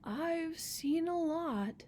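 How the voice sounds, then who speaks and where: A woman's voice: extremely high pitch, animated, darkish tone, pitched above the performer's own voice, exaggerated voice. A woman voicing an ancient, contented male rock who tells his stories proudly and dramatically in a picture book read-aloud. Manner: dramatic, unhurried storytelling.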